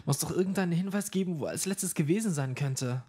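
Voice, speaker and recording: A man's voice: fairly high pitch, fast, dark tone, husky. A man acting a flippant young student in an audio drama, talking in a wry, colloquial way. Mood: curious. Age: young adult. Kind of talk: naturalistic audio drama dialogue.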